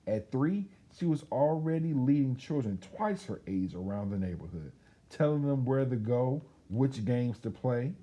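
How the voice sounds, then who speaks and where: A man reading a children's storybook aloud as a storyteller, in an expressive, chatty way with casual asides. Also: mid-range voice, moderate pace.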